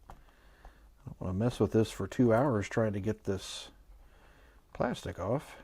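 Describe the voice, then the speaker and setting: A man's voice: on the low side, takes it slowly, noisy background. A man giving a casual, unscripted product review, thinking aloud in a musing, hesitant and mildly skeptical way. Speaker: host of an electronics review channel.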